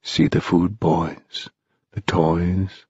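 A man voicing a sly, dry old spirit guide in a storybook, commanding and conspiratorial, with a hushed, deliberate manner. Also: very deep voice, fairly even intonation, vocal fry, husky, no room sound, muffled recording, voice pushed down, full character voice. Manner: hushed, deliberate, addressing boys as lads.

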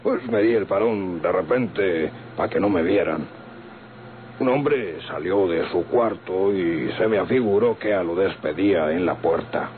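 A man's voice: low-pitched, unhurried. An actor playing a deferential older night watchman with a Mexican accent in an old radio soap, hesitant, formal and apologetic. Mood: hesitant, discreet. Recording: tinny, faintly noisy vintage radio recording.

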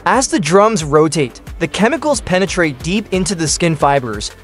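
A man narrates a scripted industrial documentary with a high, lively voice that swings widely in pitch. He lays out each step in steady, measured phrases. Soft music plays faintly beneath him. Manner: steady explanatory delivery in short listed phrases. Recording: voice-over with faint background music.

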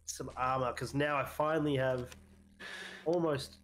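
A man's voice: medium pitch, fairly even intonation, unhurried, fairly bright tone. A young man bantering crudely with friends over a game, playful and prone to singing snatches. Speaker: gamer on voice chat. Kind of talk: casual banter.

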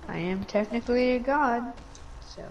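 A woman voicing a goat who calls himself a lightning god, with a slow, drawn-out delivery that drops quiet at times, in an amateur game machinima. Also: on the high side, muffled recording, a little hiss or hum, close to an everyday voice. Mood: defiant. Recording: game sounds behind the voice.

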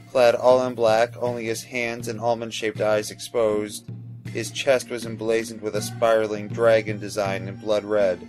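Man, low voice, dramatic scripted narration, fantasy storytelling, faint music behind. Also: low-quality recording.

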